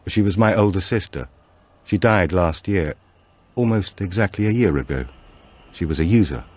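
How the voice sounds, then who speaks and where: A man's voice: sits low, expressive, moderate pace, no echo. A man voicing a subdued grieving husband, polite and hesitant, in a thriller audiobook. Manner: quiet, hesitant.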